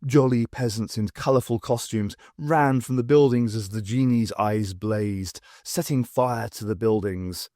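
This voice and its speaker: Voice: mid-range voice, measured pace, few pauses, warm tone, no room sound, clean studio sound. An audiobook narrator reading scripted fiction.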